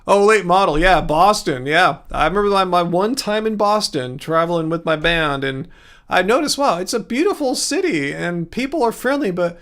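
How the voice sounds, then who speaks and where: A man with a Californian accent and a fairly high voice hosts a livestream. He talks in a loose, easygoing way, drifting between thoughts as he answers comments. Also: animated, moderate pace, a bit bright. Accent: Californian American. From California, United States. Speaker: livestream host. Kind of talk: casual livestream chat, replying to viewer comments.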